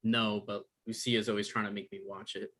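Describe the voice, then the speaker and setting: A man's voice: fairly low pitch, little pitch movement, fairly bright tone. A man playing an anxious, apologetic male writer who gets defensive and stammers, in a screenplay table read. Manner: halting, stammering.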